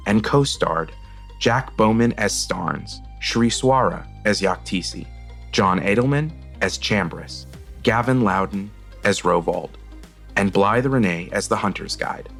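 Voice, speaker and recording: A man's voice: on the low side, fairly bright tone. A man hosting an audio drama show, reading scripted closing credits into a studio microphone. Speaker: host, director. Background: background music.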